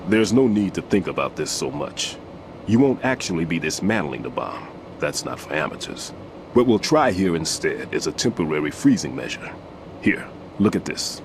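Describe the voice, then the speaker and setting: A very deep, animated older man's voice with clear noise behind it. He is a retired bomb disposal veteran, weary but authoritative, instructing step by step like a mentor in a video game scene. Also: normal speed. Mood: instructive. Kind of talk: video game voice acting, explaining and briefing.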